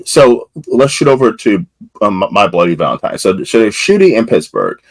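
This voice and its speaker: A man's voice: on the low side, big pitch swings, moderate pace, no room sound. A man hosting a podcast interview, asking long rambling questions with lots of fillers. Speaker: podcast host.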